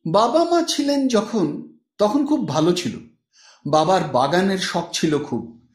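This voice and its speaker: An earnest, deferential young village woman in an audiobook story, voiced by a man. She talks simply and devotedly with lively swings in pitch, in a room with clear echo. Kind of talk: audiobook character dialogue. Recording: roomy, echoing voice recording.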